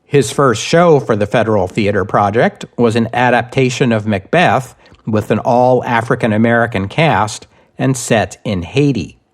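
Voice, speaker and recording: A man reading scripted history narration as a podcast host, with measured, deliberate phrasing. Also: medium pitch.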